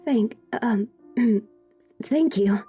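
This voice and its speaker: A woman's voice: on the high side, extremely slow, dark tone, husky, full character voice. A woman playing a timid, courteous village seamstress who stammers, in a fan audio drama. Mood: flustered, shy. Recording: music under the voice.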